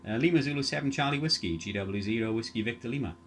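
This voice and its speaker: A man's voice: mid-range voice, fast talker, background noise. A man rambling casually through technical details as he chats as an amateur radio operator. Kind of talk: casual chat over ham radio.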